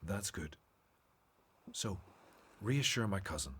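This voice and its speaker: Man playing husky-voiced, expressive gentle teacher. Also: sits low, slow, full character voice. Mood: gentle, caring. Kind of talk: radio Bible drama acting.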